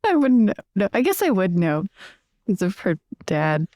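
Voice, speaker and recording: A woman's voice: mid-range voice, big pitch swings, moderate pace, warm tone, no room sound, clean studio sound. A woman chatting casually and playfully as a player in a tabletop game.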